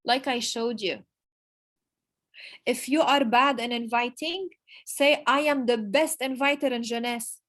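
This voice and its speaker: A woman giving an emphatic motivational talk to her business team, repeating key phrases and pausing for effect. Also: a little high, steady tone, fairly bright tone. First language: Arabic. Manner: emphatic, repetitive, pauses for emphasis. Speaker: network marketing leader giving a motivational talk. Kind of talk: motivational speech.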